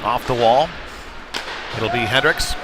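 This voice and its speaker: A man doing conversational, folksy live play-by-play commentary of a youth hockey game, getting excitable when play heats up. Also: mid-range voice, a little slow, background noise. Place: indoor ice rink. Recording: live rink stream with music behind. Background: music over rink PA.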